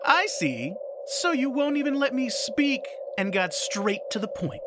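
A woman's voice: sits high, sing-song, a little slow, background noise. A woman playing a smug, vengeful spirit villain in an audio drama, theatrical and mocking. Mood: smug, menacing.

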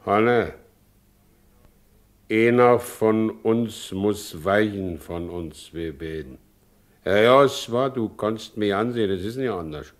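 An actor playing an ageing, good-natured but broken carter in a 1960s German radio drama, halting and brooding. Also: male voice, fairly low pitch. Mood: grave, resigned. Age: middle-aged. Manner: halting, brooding, heavy delivery.

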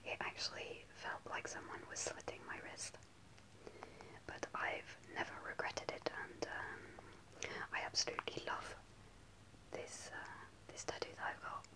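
A young woman telling a personal story in a soft, hesitant ASMR whisper, close to the camera in a small room. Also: measured pace, low-quality recording. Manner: soft unvoiced whisper, hesitant with many ums. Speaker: ASMR creator. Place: small indoor room before a starry backdrop. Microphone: close to a camera at face level.

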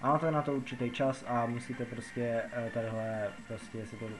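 A man's voice: medium pitch, fairly even intonation, background noise. A man from the Czech Republic giving a casual, rambling game tutorial, repeating himself as he explains. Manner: rambling, repetitive explaining with filler words. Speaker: gaming video narrator. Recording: music behind the voice. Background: background music.

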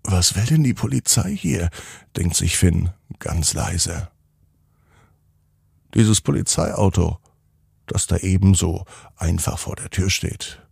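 A man hosting a children's podcast and narrating bedtime stories, speaking directly to young listeners in simple, unhurried phrases. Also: low voice, creaky, darkish tone, husky, no echo, studio quality. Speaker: podcast host and bedtime storyteller.

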